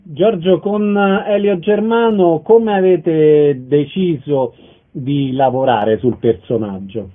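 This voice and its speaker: Casting: male radio host, slightly high voice, friendly interviewer, band-limited phone-like audio. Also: slow pace. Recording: thin, phone-like radio sound.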